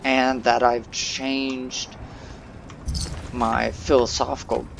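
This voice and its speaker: A young man with a monotone, halting manner, rambling through gameplay commentary with many fillers. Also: mid-range voice, gravelly, a little hiss or hum. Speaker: gaming channel host. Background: loud game music.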